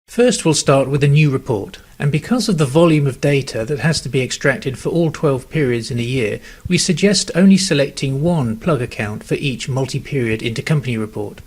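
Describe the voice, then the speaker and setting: A man narrating a scripted software tutorial in a measured, step-by-step instructional manner. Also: medium pitch, animated, breathy edge.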